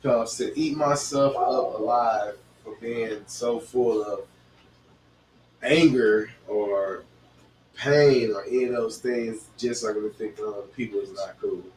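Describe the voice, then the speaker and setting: A man's voice: on the low side, slow, darkish tone, a little hiss or hum. A man hosting a casual late-night talk in a slangy, preachy way. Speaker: host. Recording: roomy, distant mic, music behind.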